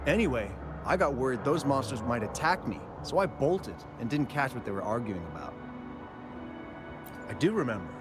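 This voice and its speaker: A man's voice: medium pitch, big pitch swings, close to an everyday voice. Guy doing a nervous chatty young miner, voice goes up and down a lot, music behind. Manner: talkative, jumpy, slows down when describing monsters. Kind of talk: game cutscene dialogue. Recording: game music underneath.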